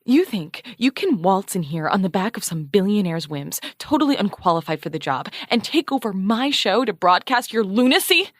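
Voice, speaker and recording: An actress playing a proud, combative radio journalist in an audio comedy-drama, haughty, pedantic and exasperated with precise wording. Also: female voice, mid-range voice, very animated, normal speed, hardly any pauses, dry recording, studio quality. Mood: furious. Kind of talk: animated audio fiction comedy-drama acting.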